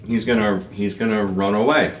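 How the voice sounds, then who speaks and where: A man's voice: on the low side, steady tone, normal speed. A man bantering casually as a player in a tabletop game, with a blunt manner. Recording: guitar music under the voice.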